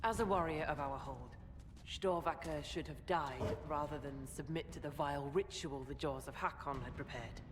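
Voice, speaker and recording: A woman's voice: low voice, normal speed, noisy background, close to an everyday voice. An actress playing a dignified woman who leads a mountain clan in a fantasy game, speaking in formal, ceremonial phrases. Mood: grave. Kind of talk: video game dialogue acting. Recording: long hall reverb, music behind.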